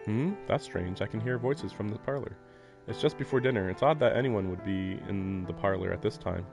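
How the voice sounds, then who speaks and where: A man's voice: on the low side, a little fast, creaky. A man livestreaming a visual novel, reading the narration aloud and adding rambling, amused casual commentary. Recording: game music playing behind. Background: video game music.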